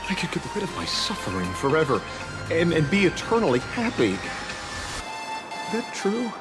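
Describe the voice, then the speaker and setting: A man's voice: a little high, wildly swooping pitch, moderate pace, music in the background. A man playing a naive, grieving man in a video game, hesitant and earnest in his longing for happiness. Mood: pleading hope.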